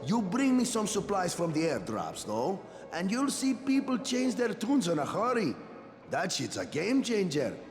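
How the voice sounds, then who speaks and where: Game casting: cynical quartermaster, man, highish voice, sardonic, reverberant room, faint music. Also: wildly swooping pitch, unhurried, full character voice. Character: blunt, cynical male survivor quartermaster. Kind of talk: video game voice acting. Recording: echoey hall, long reverb.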